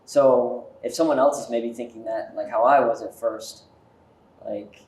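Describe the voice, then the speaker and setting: A man with a medium-pitched voice hosts a casual interview. His phrasing is informal and loose, full of "like" as he works toward his questions. The sound is noticeably roomy, with clear echo. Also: fairly even intonation, unhurried, a little mellow, slight background noise. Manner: informal, filler-heavy with frequent "like".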